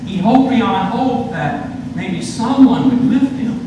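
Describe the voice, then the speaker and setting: Older preacher in an echoey church. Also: male voice, high-pitched, expressive, takes it slowly. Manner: storytelling, voices the characters in his anecdotes. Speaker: guest pastor. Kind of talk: sermon with folksy stories. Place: church sanctuary, at a pulpit. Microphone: far camera at back of the church. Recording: echoey church from far off, hiss.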